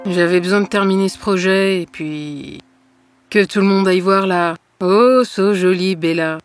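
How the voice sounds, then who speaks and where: A woman voicing a sullen, jealous young twin sister in an animated fairy tale, with a downcast, grumbling delivery. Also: medium pitch, unhurried, faint music behind, natural voice. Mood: resentful.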